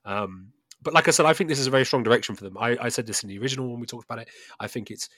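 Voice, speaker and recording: A man's voice: mid-range voice, very fast, pushed and bright, no echo, clean studio sound. A man co-hosting a casual video game chat, enthusiastic and often checking in with "right?". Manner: enthusiastic, tags statements with "right?". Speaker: podcast co-host.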